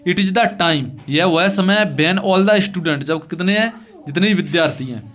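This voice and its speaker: A male teacher with a notably high voice and a north-central Indian accent leads a classroom lesson. He goes over each phrase piece by piece, posing short questions and supplying the answers himself. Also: a bit bright. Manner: repetitive, asks questions and answers them himself; slow, deliberate reading of English phrases, translated piece by piece. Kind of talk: classroom English lesson explained in Hindi.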